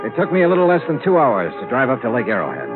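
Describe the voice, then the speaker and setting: An actor playing a wry, dogged insurance investigator who also narrates, in an old-time radio crime drama. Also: male voice, medium pitch, huge pitch swings, very fast, narrow, tinny audio. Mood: brooding, intent. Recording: thin vintage radio recording, music behind.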